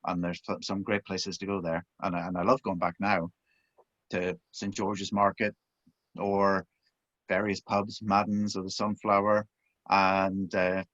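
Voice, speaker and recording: Low-voiced Belfast man, dry lo-fi sound. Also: a bit bright, no echo. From Northern Ireland. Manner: chatty and self-deprecating, with frequent restarts. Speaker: author and former broadcast journalist. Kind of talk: relaxed interview chat.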